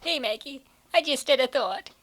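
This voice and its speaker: Woman playing high-voiced, breezy foodie college girl. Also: animated, normal speed, a bit bright. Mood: easygoing. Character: easygoing, food-loving plump college woman. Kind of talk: comic dub character acting.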